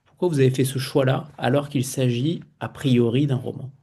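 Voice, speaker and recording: A man's voice: mid-range voice, steady tone, moderate pace, creaky, mellow, slightly rough. A man hosting a literary interview, asking long, multi-part questions and reading out a quote from the book.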